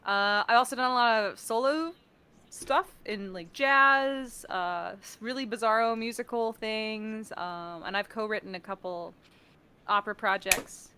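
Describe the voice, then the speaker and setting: A woman with a very bright, forward voice in a casual interview. She talks in an animated, self-mocking way and slips into small acted quotes as she explains her work. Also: on the high side, a little slow, clean tone. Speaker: guest, voice artist and sound designer. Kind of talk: casual interview chat on a live stream.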